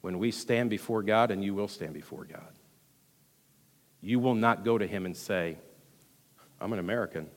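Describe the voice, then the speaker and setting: A man with a Texan accent preaching a sermon as pastor, rushing through some lines and dropping to hushed asides between louder, emphatic ones. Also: fairly low pitch. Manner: animated preaching, swings from loud emphasis to hushed asides, quick delivery. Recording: long hall reverb.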